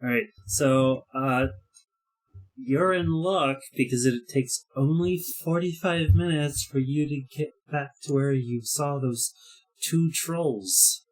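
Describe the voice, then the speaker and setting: A man running a tabletop roleplaying game as game master, giving casual rulings and narration in a halting way. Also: mid-range voice, poor audio.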